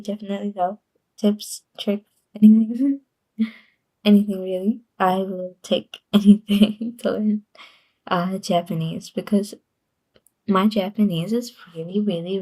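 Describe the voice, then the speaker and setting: A woman's voice: medium pitch, fairly even intonation, unhurried, long pauses, dark tone, poor audio. A woman streamer chatting casually on a livestream, rambling and giggling often between sentences.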